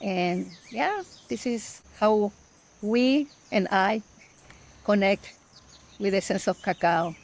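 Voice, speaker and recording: Woman speaking halting second-language English. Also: mid-range voice, vocal fry. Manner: halting non-native English. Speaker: guest, permaculture farmer. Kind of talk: casual podcast interview.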